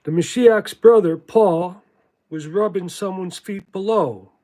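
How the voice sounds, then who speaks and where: A man giving a contemplative spiritual monologue in a halting, deliberate way, repeating words for emphasis. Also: high-pitched, warm tone. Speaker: spiritual teacher reading from own writings.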